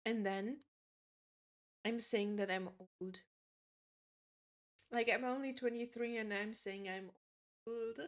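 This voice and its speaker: A young woman in her twenties chats slowly and easily with her live stream audience, her voice sitting a touch high. She giggles often and answers viewers' messages one by one in a relaxed, talkative way. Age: 20s. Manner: chatty, giggly, reads chat aloud. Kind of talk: casual chat with stream viewers.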